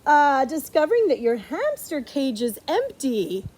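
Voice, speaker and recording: A middle-aged woman reading a picture book aloud to a child, playfully animated, with dramatic pauses and chatty asides. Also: sits high, crowd noise, low-quality recording. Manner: playful, dramatic pauses before key words.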